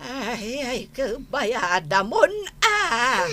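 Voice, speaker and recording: An elderly, sympathetic mother in an Ilocano radio drama. She speaks very slowly, with lively rises and falls and a bright tone. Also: on the high side, full character voice. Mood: dismayed, sympathetic. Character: slow, sympathetic elderly mother, an old woman.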